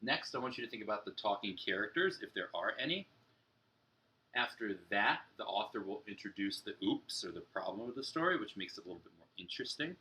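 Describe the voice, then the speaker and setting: Low-voiced young man teaching, slightly rough tone. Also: animated, fairly quick, pushed and bright, rough recording. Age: young adult. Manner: explanatory, addressing young children. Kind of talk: children's story-structure lesson and read-aloud.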